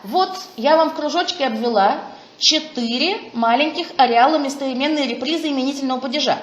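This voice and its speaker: Woman presenter, higher register, enthusiastic academic delivery; echoey conference room. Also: brisk. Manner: enthusiastic, exclaims over findings. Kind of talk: academic talk with Q&A. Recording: echoey room, faint noise.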